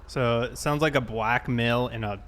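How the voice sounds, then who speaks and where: A man's voice: medium pitch, normal speed, fairly bright tone. An American man chatting casually on a livestream while roleplaying as a police officer, in a laid-back way. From South Carolina, United States. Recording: clear background noise, vehicle sounds.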